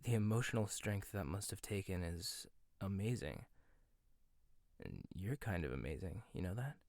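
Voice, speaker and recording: A man performing a gentle, intimate ASMR roleplay monologue, hesitant at times and teasing at others. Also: sits low, expressive, gravelly, no echo.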